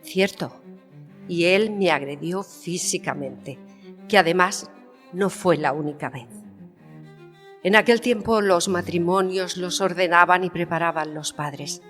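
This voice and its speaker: Dignified queen from a historical audio drama, lowish voice, formal measured delivery, long reverb. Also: fairly bright tone, soft background music. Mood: bitter, frank.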